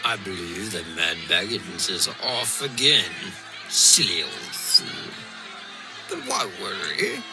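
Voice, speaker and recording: A man's voice: low voice, big pitch swings, slow pace, music in the background, heavily acted. A man playing a suspicious, hearty, grumbling hobbit party guest in an audio drama. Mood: scornful, dismissive. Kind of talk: theatrical audio drama acting.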